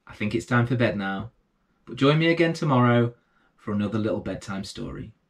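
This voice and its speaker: A man's voice: on the low side, a little fast. A man in his thirties reading a bedtime storybook to young children. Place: small book-lined room indoors.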